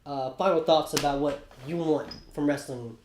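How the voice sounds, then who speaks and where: Excitable man on an echoey podcast. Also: a little high, moderate pace, a little hiss or hum. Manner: excitable, animated, swears casually. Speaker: podcast co-host. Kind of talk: casual podcast chat.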